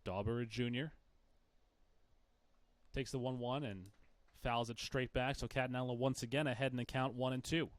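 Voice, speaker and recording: Male play-by-play baseball announcer, mid-pitched voice, dry broadcast sound. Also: steady tone, moderate pace, pauses often, no room sound. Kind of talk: live sports commentary.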